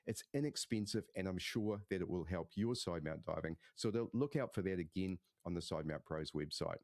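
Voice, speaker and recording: Low-voiced male podcast host, dry sound. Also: normal speed, a little mellow, no room sound. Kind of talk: scripted intro then interview.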